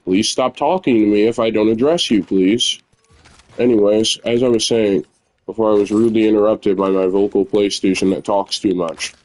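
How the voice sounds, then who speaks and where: Young guy talking while gaming, kinda low voice, loud and rambly, lots of ums. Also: fairly even intonation, moderate pace, poor audio. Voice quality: naturally loud voice. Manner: rambling, candid, filler-heavy. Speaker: gamer streamer. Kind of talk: casual gameplay commentary.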